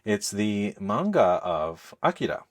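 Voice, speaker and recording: A man's voice: on the low side, very animated, slow. A middle-aged man hosting a podcast in a casual, conversational style. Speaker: podcast host.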